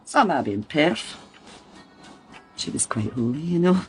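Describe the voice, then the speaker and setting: A woman's voice: low-pitched, very animated, unhurried, soft background music, full character voice. An actress playing a harassed, blunt working-class mother with a Scottish accent in a radio crime drama. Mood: earnest, upset. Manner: blunt, emphatic, colloquial.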